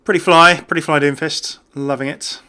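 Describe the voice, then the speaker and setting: Bright, chatty male gaming commentator. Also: a little high, fairly even intonation, normal speed, smooth. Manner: chatty, jokey, rambling. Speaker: gaming YouTuber. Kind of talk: casual off-the-cuff game commentary.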